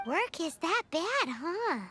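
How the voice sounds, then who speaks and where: A woman voicing a tiny, cheeky, childlike fairy companion with a squeaky voice in an anime game. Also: big pitch swings, takes it slowly, bright, forceful tone, faint music behind, exaggerated voice. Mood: cheeky, curious. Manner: speaks of herself in third person.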